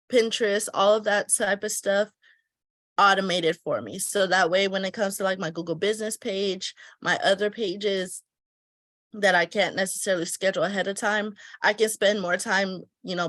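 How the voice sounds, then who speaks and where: A woman sounding a little congested from a recent cold explains how to set up a weekly schedule, pausing to think aloud as she writes. Her pitch sits in an ordinary middle range, and her relaxed, chatty delivery reads like a friendly tutorial. The recording is dry and close, with almost no room sound. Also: dry recording. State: stuffy, recovering from a cold. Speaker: travel agency owner teaching planning. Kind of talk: casual step-by-step tutorial.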